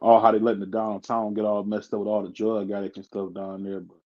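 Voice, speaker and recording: Host guy, lowish voice, keeps laughing, kinda muffled lo-fi sound. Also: flat delivery, fast. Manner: laughs often, short agreeing replies. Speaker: stream host. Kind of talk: casual chat. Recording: muffled lo-fi audio.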